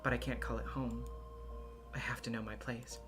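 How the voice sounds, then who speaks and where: A performer voicing a fragile, self-loathing Soviet schoolgirl with halting, formal speech in a visual novel reading. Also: flat delivery, natural voice. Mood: resigned. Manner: halting, tearful, breaks into strained high outbursts. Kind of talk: visual novel voice acting, first-person narration. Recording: music behind the voice.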